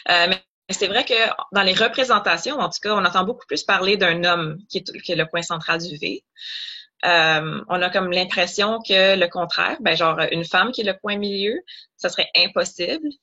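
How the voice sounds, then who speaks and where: Bright-voiced young woman explaining. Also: on the low side, normal speed, lo-fi sound. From Ottawa, Canada. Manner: explanatory, many fillers and restarts. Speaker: doctoral student guest. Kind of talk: interview.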